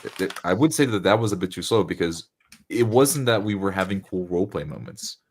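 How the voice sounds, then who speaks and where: A man's voice: low-pitched, expressive, brisk, darkish tone. A man chatting casually and eagerly as a game player, often repeating how he starts a phrase. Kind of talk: casual chat.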